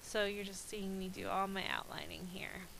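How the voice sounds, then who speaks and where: A woman's voice: mid-range voice, fairly even intonation, moderate pace, vocal fry, a bit bright, slight background noise, poor audio. A woman with a slightly stuffy, nasal voice from a cold, chatting casually with frequent ums as she narrates a voice-over about her crafting. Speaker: scrapbooking video creator.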